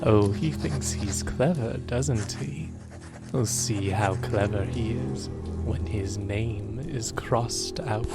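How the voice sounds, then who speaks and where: A man's voice: lowish voice, slow pace, over loud music. A man playing a sinister, gloating villain who savors each name on a list with theatrical menace. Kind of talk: theatrical horror credits reading.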